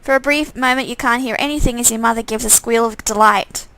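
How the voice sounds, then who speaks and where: A woman's voice: a little high, brisk, background noise. A woman narrating a visual novel live, reading aloud with casual, self-deprecating asides and correcting herself.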